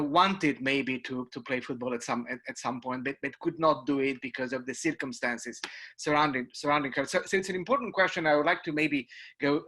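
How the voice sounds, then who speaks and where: A man's voice: medium pitch, bright, forceful tone. A man moderating a global webinar panel, speaking politely and hesitantly with many fillers.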